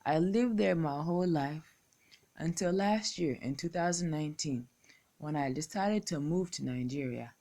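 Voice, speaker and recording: A young American woman reciting a poem and making a slow, deliberate appeal as a contest entrant. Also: low-pitched, mellow. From United States. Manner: slow, deliberate, measured phrasing. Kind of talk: recorded poetry recital and appeal.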